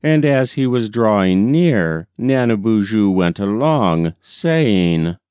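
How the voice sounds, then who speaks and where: A man reading a folk tale aloud as scripted storybook narration, in a measured, deliberate way. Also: fairly low pitch, animated, a little mellow, smooth, dry recording. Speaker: audiobook reader.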